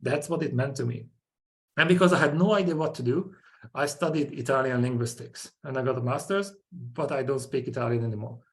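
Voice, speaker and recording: A man's voice: mid-range voice, fairly quick. A man in his forties from Hungary with a Hungarian accent, giving a webinar presentation in short, punchy sentences with rhetorical questions.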